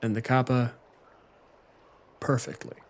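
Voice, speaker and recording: A man's voice: mid-range voice, little pitch movement, slow pace. A man speaking as game master, running a tabletop roleplaying game in conversational narration.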